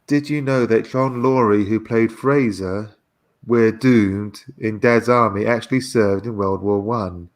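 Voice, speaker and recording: Slow-talking man, halting and hesitant. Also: lowish voice, fairly even intonation. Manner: hesitant, halting with repeated phrases. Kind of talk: casual livestream chat.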